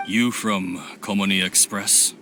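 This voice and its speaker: A suspicious thief boss with a sharp, tense tone, curt and quick to lose patience. for a game cutscene, with faint noise behind. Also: male voice, fairly low pitch, steady tone. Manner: curt, impatient.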